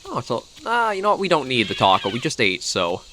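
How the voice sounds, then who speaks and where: A man's voice: mid-range voice, wildly swooping pitch, fairly quick, bright, forceful tone, slight background noise. A man with a Canadian accent who is coming down with a cold, giving casual, rambling gameplay commentary as he thinks aloud. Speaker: gaming video host.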